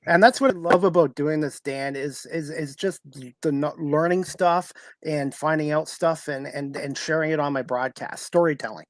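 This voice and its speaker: A man's voice: a little high, moderate pace, hardly any pauses, no echo. A man hosting a casual sports chat, earnest and eager to share trivia. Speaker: talk show host, sports broadcaster.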